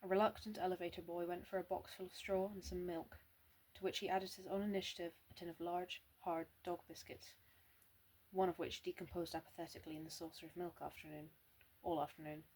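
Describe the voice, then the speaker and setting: A woman's voice: lowish voice, flat delivery, brisk, darkish tone, slight background noise, low-quality recording. A young woman reading a novel aloud, often stumbling and restarting words because of a slight stammer. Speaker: amateur reader.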